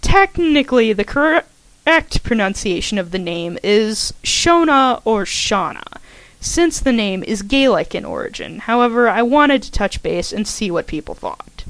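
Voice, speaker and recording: A woman's voice: a little high, very animated, a little slow, fairly bright tone, no echo, poor audio. A woman giving an informal scripted introduction to an audio story, chatty and full of self-aware little asides. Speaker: fan fiction author and podfic narrator.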